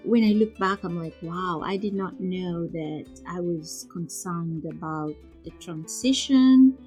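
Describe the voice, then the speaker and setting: A woman's voice: on the low side, slow pace, a little mellow, soft background music. A woman in her forties from Kenya with a Kenyan accent, chatting as an interview guest and telling her story with frequent laughter. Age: 40s. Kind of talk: casual interview conversation.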